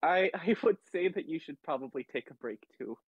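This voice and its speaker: A man voicing a gentle, awkward, reassuring cat adventurer in a tabletop game. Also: on the high side, expressive, brisk, slightly rough, dry recording, lo-fi sound, voice pushed up.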